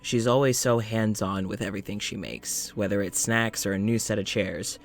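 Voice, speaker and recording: A man's voice: lowish voice, moderate pace, gravelly, soft background music. A man playing a polite, uneasy scientist in an audio drama, speaking in a reflective, hesitant, conversational way, as if into a journal. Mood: relaxed, reflective. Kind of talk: audio drama monologue and dialogue.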